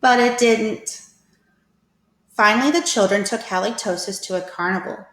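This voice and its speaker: A woman reading a picture book aloud to children as a teacher, with expressive, deliberate storytelling. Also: medium pitch. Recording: echoey room.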